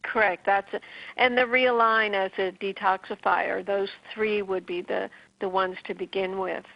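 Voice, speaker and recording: Flat-voiced woman host on lo-fi radio. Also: mid-range voice, creaky, no echo. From Canada, Newfoundland. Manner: brisk, advising, many restarts. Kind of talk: casual call-in radio advice. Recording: dull lo-fi radio sound.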